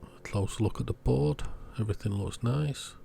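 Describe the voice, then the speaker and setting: A man narrating a hands-on repair video in short, measured, understated phrases. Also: medium pitch, creaky, mellow, a little hiss or hum. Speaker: host of an electronics repair channel.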